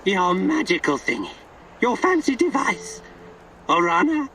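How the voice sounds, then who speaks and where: A man's voice: high voice, wildly swooping pitch, unhurried, pushed and bright, far from the mic, a little hiss or hum, exaggerated voice. An actor voicing an eccentric, twitchy male vagrant in a video game, speaking in cryptic, theatrical, playful riddles. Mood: curious, playful. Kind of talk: video game character acting.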